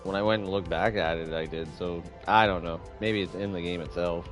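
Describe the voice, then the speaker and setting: Deep-voiced man chatting on a game stream. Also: steady tone, a little fast. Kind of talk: casual livestream commentary, reading game dialogue aloud. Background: music.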